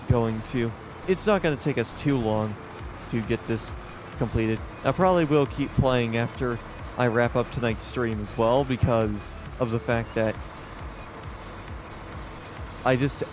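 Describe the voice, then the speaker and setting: A man with a loud voice giving rambling, casual commentary while streaming a game live, often restarting his sentences and talking to the game. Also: medium pitch. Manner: rambling, self-correcting, talks to the game.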